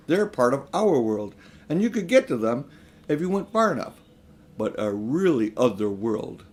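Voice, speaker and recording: Elderly storybook magician, male, acted by a man reader: vain, grandiose, self-important, very animated pitch. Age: older. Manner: grandiose, self-important, indignant when challenged. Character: pompous, vain, selfish elderly magician uncle. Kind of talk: storybook character reading.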